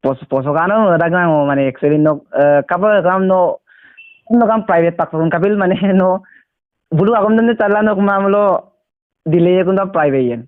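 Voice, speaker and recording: A man chatting casually on the phone, speaking haltingly and mixing in English words. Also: high-pitched, no echo, phone-quality sound.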